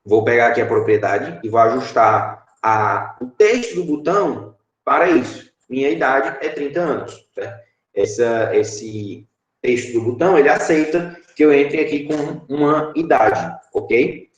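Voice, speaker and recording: A man's voice: mid-range voice, animated, a little slow, highs cut off. Brazilian man teaching over video call. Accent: Brazilian Portuguese. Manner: explanatory, frequent filler "é" and tag "certo?". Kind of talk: online class screen-share tutorial. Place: home room, indoors. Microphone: webcam video call. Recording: echoey room.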